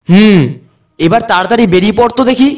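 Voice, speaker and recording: A man playing a caring, protective friend and clinic helper in a cartoon, chiding in a brisk, familiar way. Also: high-pitched, close to an everyday voice. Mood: fondly nagging.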